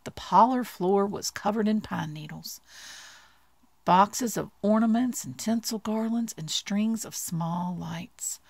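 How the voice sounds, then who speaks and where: A woman reading a bedtime storybook aloud in an expressive storytelling style. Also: mid-range voice, slow pace, creaky, no echo. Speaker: storybook reader.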